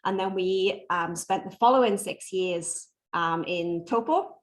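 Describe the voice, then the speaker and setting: A woman in her forties, originally from Wales, whose Welsh accent has softened after years in New Zealand. She answers questions in a measured, polite way with plenty of pauses, and her voice sits on the low side for a woman. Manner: measured, polite, with frequent ums. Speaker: podcast guest, bikini competitor. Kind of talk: interview answers.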